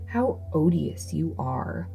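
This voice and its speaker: A woman acts out a bad-tempered little storybook dwarf man. He scolds and grumbles in a shrill, creaky snarl, full of scorn and ingratitude. Music plays behind him. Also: big pitch swings, takes it slowly, mellow, exaggerated voice, rough recording. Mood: peevish complaint. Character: ill-tempered, ungrateful little old dwarf man with a beard. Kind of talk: fairy tale storybook reading.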